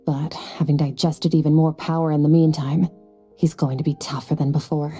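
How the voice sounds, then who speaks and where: A woman playing an aloof, stoic young warrior and mentor in a video game, speaking in a cool, measured, matter-of-fact manner. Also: low-pitched, flat delivery, dark tone, breathy edge, faint music behind. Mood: resolute. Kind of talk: video game character acting.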